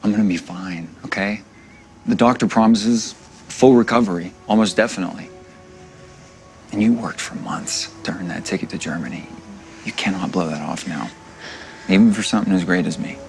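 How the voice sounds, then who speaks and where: An actor playing a calm, reassuring police officer in a TV drama clip. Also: male voice, low-pitched, normal speed, vocal fry, long pauses. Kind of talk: TV drama acting. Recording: TV clip with faint music.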